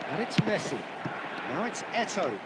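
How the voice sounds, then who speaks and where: A man voicing the match commentator of a football video game, measured and polished, raising his voice on players' names. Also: high voice, big pitch swings. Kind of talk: scripted sports game commentary. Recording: game audio under loud crowd noise.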